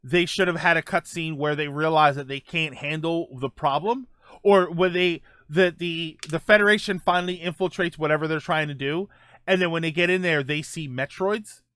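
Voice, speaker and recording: Man, livestream host, crisp bright tone, close dry sound, casual halting chat, repeated phrase openings. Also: on the high side, steady tone, no room sound. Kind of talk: casual chat with live viewers, gaming and film talk.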